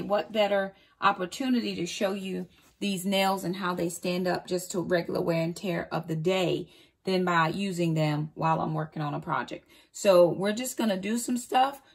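A woman's voice: mid-range voice, gravelly, husky. A woman in her forties chatting casually to camera as a home vlogger, upbeat and saying y'all, filmed in a walk-in closet at home.

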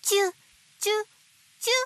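A woman playing a gentle, motherly owl teacher who reads picture books to toddlers with playful sound words. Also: unhurried, close to an everyday voice. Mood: playful storytelling. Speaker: picture book storyteller for babies. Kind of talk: picture book read-aloud for toddlers. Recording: faint background noise of nature. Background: nature sounds.

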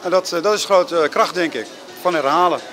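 A man managing a ski school, giving a promo interview in a halting way with many fillers. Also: fairly high pitch, fairly bright tone, soft background music.

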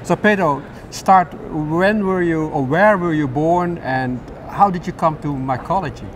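A man's voice: fairly high pitch, a little slow, slight background noise. An older man interviewing a colleague, asking hesitant questions that repeat words, on a lapel mic in a large glass atrium. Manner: hesitant, repeats words while finding them.

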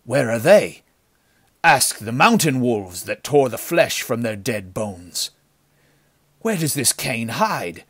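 Man doing a loud, high-strung sullen henchman. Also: very animated, slow pace, husky, no echo, clean studio sound, heavily acted. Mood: grim, exasperated. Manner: sullen, emphatic. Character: sullen, grim bandit henchman, fearful and exasperated.

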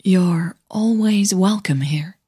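A woman voicing a kind, sincere woman singer in an audio drama, soft, breathy and gently reassuring. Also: fairly low pitch, takes it slowly, exaggerated voice. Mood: gentle, reassuring.

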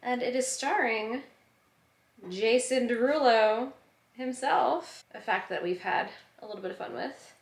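A woman's voice: on the high side, unhurried, vocal fry, reverberant. A woman in her thirties chatting casually to a camera as a vlog host.

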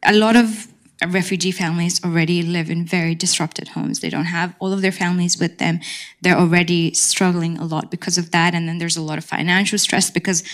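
A woman's voice: on the low side, fairly even intonation, fairly quick. A young woman on a panel in a university seminar room, telling her own settlement story in an earnest, frank and reflective way. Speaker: panelist sharing lived experience.

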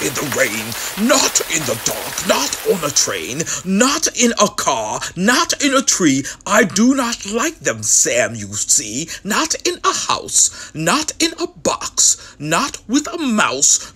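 Man acting a grumpy, forceful furry grouch, swooping wildly. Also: high voice, slightly rough, exaggerated voice. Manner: emphatic, exasperated refusals. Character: grumpy, stubborn male furry creature refusing food.

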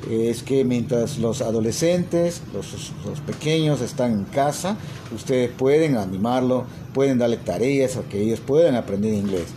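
A man's voice: mid-range voice, normal speed, noisy background. A man, a language teacher talking casually to his phone at arm's length in a small room, hesitating often with fillers and tag questions. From Peru. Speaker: English teacher and language school owner. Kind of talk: casual selfie video talk.

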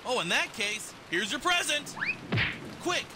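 A man's voice: very high-pitched, very animated, measured pace, bright, forceful tone, a little hiss or hum, exaggerated voice. A man voicing a dim-witted adult male police detective with a goofy, cheerfully clueless manner.

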